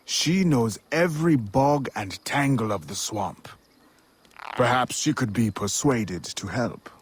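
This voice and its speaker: Very husky, solemn mentor. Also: male voice, medium pitch, animated. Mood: stern, instructive. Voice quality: very husky, breathy rasp. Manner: measured, solemn, instructive. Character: grave male mentor briefing his assassin pupil. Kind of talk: video game voice acting.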